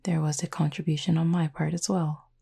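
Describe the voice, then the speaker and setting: A woman playing a gentle, formal cupid roommate in an intimate roleplay audio, speaking softly and breathily with measured, teasing politeness. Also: deep voice, little pitch movement, full character voice. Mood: tender, calm. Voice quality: soft, breathy, slightly husky. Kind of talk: intimate roleplay audio acting, addressed to listener.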